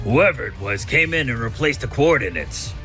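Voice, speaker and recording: A man's voice: medium pitch, very animated, normal speed, pushed and bright. A man voicing a thoughtful, scheming mad scientist in a comic dub, musing and conversational. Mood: genial, musing. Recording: faint music under the voice. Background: background music.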